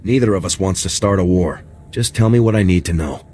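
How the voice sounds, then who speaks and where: An actor playing a calm, firm soldier hero in a video game, with a dry delivery. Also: male voice, low voice, a little fast, slight background noise, close to an everyday voice. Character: composed, steely male soldier hero.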